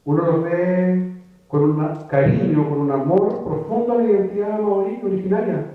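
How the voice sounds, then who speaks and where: A man's voice: sits high, normal speed. Man speaking in an echoey hall. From Chile. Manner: reflective, with many restarts and repeated words. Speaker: writer giving a public talk. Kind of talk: conversational lecture. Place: lecture room before an audience. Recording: echoey phone-like room recording from far off.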